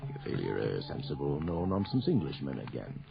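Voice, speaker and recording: An actor playing a haunted sergeant major back from India, grave and foreboding, telling it with theatrical pauses in an old-fashioned radio horror style. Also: male voice, rumbling low, wildly swooping pitch, creaky, faint music behind, full character voice. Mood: haunted, dramatic. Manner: theatrical, ominous storyteller with dramatic pauses. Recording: dull, lo-fi old radio drama recording.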